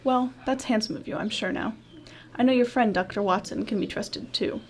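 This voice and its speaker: A woman's voice: mid-range voice, moderate pace, natural voice. A woman acting an eager, plain-spoken local police sergeant in a radio drama, folksy and deferential. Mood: grateful, friendly.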